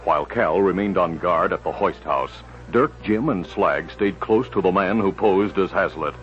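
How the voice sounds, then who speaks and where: A man's voice: deep voice, moderate pace, a bit bright. A man reading scripted narration for a 1950s radio drama. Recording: dull old radio recording, music behind.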